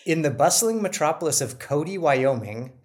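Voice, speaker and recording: A man's voice: on the high side, expressive, moderate pace. A middle-aged man co-hosting a podcast in casual chat, self-deprecating and hesitant, often restarting his sentences. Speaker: podcast co-host.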